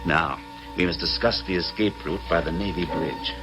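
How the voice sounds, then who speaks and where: Low-voiced, bright-edged male villain played by an actor, grandiose 19th-century plotter, old radio drama with faint music. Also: normal speed, lightly acted, poor audio. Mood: conspiratorial, grandiose. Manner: grandiose, theatrical. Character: scheming, arrogant 19th-century actor turned assassin plotter.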